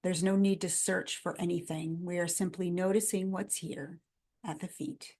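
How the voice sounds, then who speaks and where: A woman guiding a body scan meditation in a gentle, calm, instructional manner, in measured phrases with pauses between them. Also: on the low side. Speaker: meditation guide.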